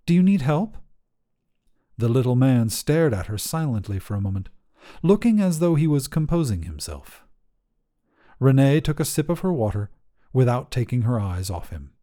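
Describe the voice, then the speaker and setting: A man's voice: mid-range voice, very animated, moderate pace, dark tone. A man narrating a scripted short story as a fiction podcast reader.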